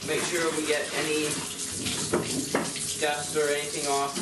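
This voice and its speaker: A man's voice: a little high, flat delivery, unhurried. A teenage boy casually explaining step by step how to tap birch trees, recorded on a camera outdoors in snowy woods and indoors. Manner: plain step-by-step explaining with restarts. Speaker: homestead vlogger. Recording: loud outdoor background noise.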